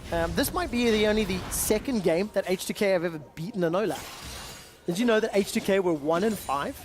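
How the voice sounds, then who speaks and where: A man's voice: high-pitched, lively intonation, fairly quick, noisy background. A man doing play-by-play commentary on an esports match, with dry banter between calls. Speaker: esports caster. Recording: music bed under commentary.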